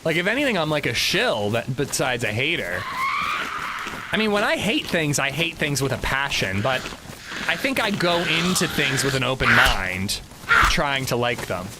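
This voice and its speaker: A man's voice: medium pitch, very animated, moderate pace, heavy background noise. A man streaming a video game, chatting casually with his chat in a rambling, chatty way and swearing freely. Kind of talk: casual livestream chat while gaming.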